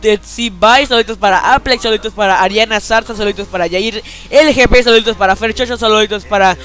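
Teen boy, really high bright voice, rattles through slangy game chat, bit of music behind. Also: quick pace. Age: teens. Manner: slangy, repeats "chicos" constantly. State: feeling unwell, a bit weak. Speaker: gaming YouTuber. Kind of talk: casual gameplay commentary. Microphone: headset.

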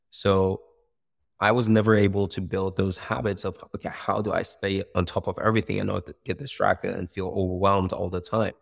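A young man from Iran, a startup founder talking casually on a video call, animated, with many fillers and restarts. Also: low voice, gravelly. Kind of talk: casual interview. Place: bright room by a window.

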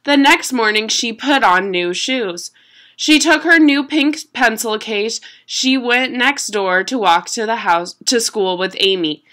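A young adult woman reading a children's picture book aloud at home in an expressive, deliberate storytelling manner. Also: on the high side. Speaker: storybook reader. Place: small room at home.

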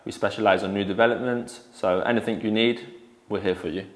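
A man's voice: fairly low pitch, steady tone, fairly quick. A man in his thirties who grew up in Spain, a native Spanish speaker, giving a casual promotional talk as an estate agent. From born in England, grew up in Spain. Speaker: real estate agent in family business. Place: living room, on a sofa indoors. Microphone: lapel mic.

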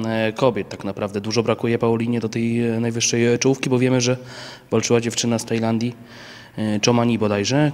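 A man in his thirties interviewing a coach outdoors, holding a handheld mic and asking steady, informed questions. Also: medium pitch, in a large hall. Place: outdoors by a banner at an event.

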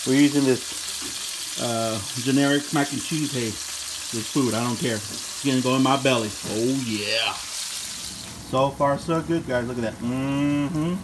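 Casting: relaxed middle-aged guy, home cooking vlogger, chatty, phone-recorded in a kitchen with frying noise. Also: medium pitch, a little slow. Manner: laid-back, chatty, talks to viewers as "guys". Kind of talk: casual cooking vlog. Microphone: phone camera held while cooking. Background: frying sizzle and kitchen noise.

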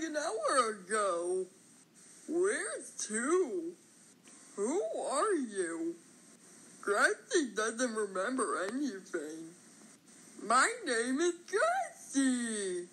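A woman acts out a little childlike cartoon blade of grass with a bright, very lively voice. It is cutesy and innocent, squeaky with a husky edge, and takes its time over the words. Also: drawn-out words, exaggerated voice, poor audio. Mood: curious, innocent. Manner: cutesy, refers to self in third person. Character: small childlike grass character, naive, cutesy, third-person talker. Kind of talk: cartoon character voice lines.

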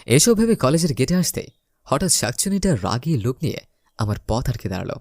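A young man narrates a romantic comedy story in a theatrical, first-person way. His mid-pitched voice swings up and down with lots of expression, and the sound is dry and close. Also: sing-song, brisk, darkish tone, no echo. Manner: theatrical, acts out his lines.

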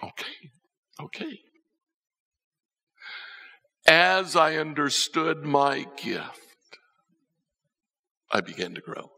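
An older man preaching a sermon from a church stage, telling stories and swinging between soft and emphatic lines. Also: fairly high pitch, very animated, very slow, gravelly, pauses often. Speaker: pastor. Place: church stage with band instruments. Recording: church hall reverb.

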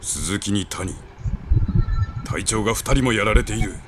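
An actor playing a stern, commanding samurai officer in a video game, gruff, authoritative and coolly menacing. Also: male voice, on the low side, unhurried, lightly acted. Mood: stern, cold. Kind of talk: dramatic samurai game cutscene acting. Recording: ambient noise behind the voice.

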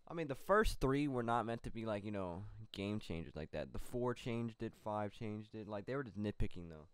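A man's voice: fairly low pitch, lively intonation, moderate pace, smooth, no room sound. A young man co-hosting a casual gaming news show from a small home studio, rambling through the stories with plenty of fillers. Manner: rambling, loose delivery with many fillers. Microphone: studio mic on a boom arm.

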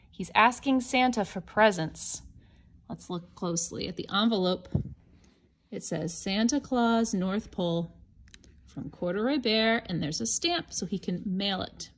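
A woman with a fairly high voice reads a children's picture book aloud at a very slow pace. She phrases things deliberately and expressively for young listeners, posing simple questions and explaining as she goes. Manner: expressive, questioning, with gasps and hushed asides. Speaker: storybook reader for young children.

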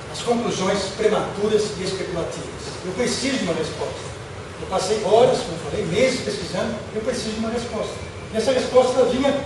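Middle-aged brazilian guy giving a talk, emphatic and deliberate, really echoey, mic far away. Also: high-pitched. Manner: deliberate, emphatic, rhetorical questions, listing points. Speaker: lecturer, former UFO researcher. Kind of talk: public lecture with slides. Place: auditorium stage, indoors. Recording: echoey hall from far off, noisy.

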